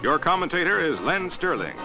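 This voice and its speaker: A man's voice: fairly high pitch, sing-song, fairly quick. An American man narrating a 1940s newsreel as commentator, in a dramatic, emphatic, declamatory style with clipped phrases. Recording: noisy 1940s newsreel soundtrack. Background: music, artillery fire and battle sounds.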